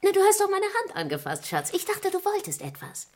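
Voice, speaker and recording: An actress playing a detective's affectionate, easily shaken wife in a radio crime drama. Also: female voice, very high voice, huge pitch swings, brisk, heavily acted. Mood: uneasy, puzzled.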